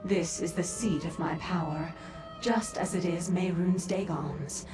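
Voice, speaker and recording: A woman's voice: fairly low pitch, fairly even intonation, measured pace, natural voice. A woman playing an imperious, solemn demon clan leader in a fantasy video game, speaking in a stately, formal, commanding way. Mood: grave, commanding. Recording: echoing voice over game music.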